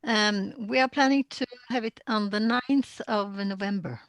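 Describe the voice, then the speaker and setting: A woman from Norway who trains teachers in design, speaking calmly and plainly on a video call. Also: mid-range voice, moderate pace, dry recording. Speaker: design educator.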